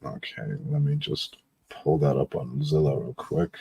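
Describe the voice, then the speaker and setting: A man's voice: a bass voice, fairly even intonation, a little slow, creaky, mellow, a little hiss or hum. A man from California, a real estate investor, chatting casually on a phone call.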